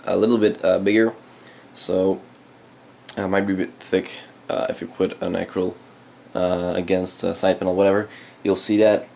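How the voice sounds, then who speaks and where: A man whose first language is Dutch, hosting a casual unboxing of computer case parts, halting, with many ums and uhs. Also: low voice, a little mellow, slight background noise. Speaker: channel host reviewing PC parts. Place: indoors at a desk, hands on camera.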